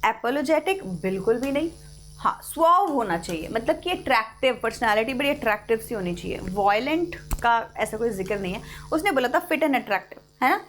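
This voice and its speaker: A young woman with an Indian accent teaches an English lesson, talking students through the text in a mix of Hindi and English. Her voice sits fairly high. She slows down to read English passages aloud, and soft music plays faintly behind her. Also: lively intonation. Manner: explains in Hindi mixed with English, reads English lines slowly. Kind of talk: live online class explanation.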